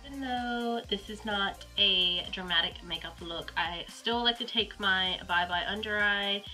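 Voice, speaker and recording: A woman's voice: mid-range voice, unhurried, pushed and bright, soft background music, low-quality recording. A young woman with a Southern US accent and a stuffy, congested voice from a cold, chatting casually through a makeup tutorial at home with lots of ums and likes. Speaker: beauty vlogger.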